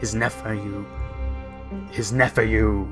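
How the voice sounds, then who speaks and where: A man with a fairly low voice plays through a story game for an audience. He reads the text aloud and drifts into rambling, joking asides, with music clearly playing behind him. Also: level tone, slow. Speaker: let's play host. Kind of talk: let's play commentary, reading game text aloud.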